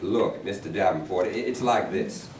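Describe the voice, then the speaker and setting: A man's voice: deep voice, normal speed, a little mellow, close to an everyday voice. A man reading a pragmatic, plain-spoken Southern union president in a staged play. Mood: firm. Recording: echoey room, distant mic, faint noise.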